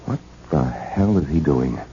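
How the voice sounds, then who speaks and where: Very deep, gravelly, suave schemer. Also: male voice, animated, a little slow, dark tone, full character voice. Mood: uneasy. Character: suave, deep-voiced fiancé, secretly conspiring, uneasy. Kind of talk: 1970s American radio drama acting. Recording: muffled, noisy old radio broadcast.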